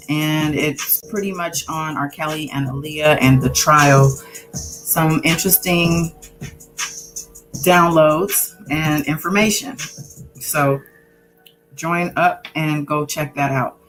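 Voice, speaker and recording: A woman hosting a casual live-stream chat, relaxed and joking with slangy asides. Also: sits low, slow, soft background music, poor audio. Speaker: host and tarot reader.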